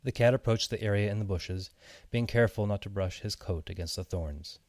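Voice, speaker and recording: A man with a low voice narrates a children's story. His delivery is measured and expressive, clearly scripted reading. The sound is very dry, with no room around it. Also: no room sound. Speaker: audiobook narrator.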